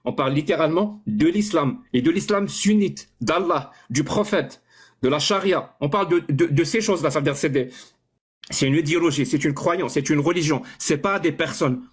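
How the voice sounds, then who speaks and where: A man with a slightly high voice argues his case in a heated online debate. He talks insistently, repeating himself and tripping over words as he presses on. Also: moderate pace, breathy edge. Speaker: debate participant.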